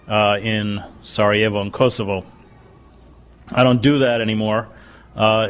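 A middle-aged man giving a lecture, speaking deliberately and pausing often with "uh" as he gathers his thoughts. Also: fairly low pitch, a bit bright. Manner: deliberate, halting with many "uh"s. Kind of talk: bookstore lecture.

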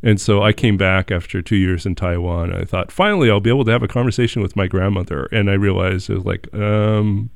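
A man hosting an interview, talking in a relaxed, reflective way with some stammering restarts. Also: lowish voice, quick pace, creaky, few pauses, no room sound, studio quality. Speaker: host. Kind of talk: casual interview chat.

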